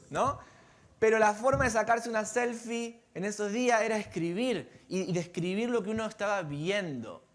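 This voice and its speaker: Argentine man, pastor's sermon, high voice, asks the pews questions, church sanctuary. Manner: conversational, engages congregation with questions.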